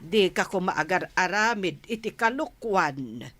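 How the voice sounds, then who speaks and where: A woman's voice: on the low side, lively intonation, measured pace, a bit bright. A woman playing an exasperated, scolding mother of a naughty boy in a comedy radio drama.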